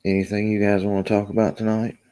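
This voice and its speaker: Low, mellow Kentucky man chatting. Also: little pitch movement, quick pace, clear, resonant tone. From Kentucky, USA. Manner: rambling, answers chat comments, many ums. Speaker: livestream host. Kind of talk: casual live-chat stream.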